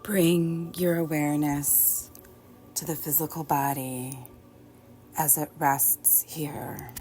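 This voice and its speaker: A woman teaching yoga nidra, guiding relaxation in a gentle, soothing way with long pauses. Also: sits low, flat delivery. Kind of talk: guided relaxation meditation. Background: soft background music.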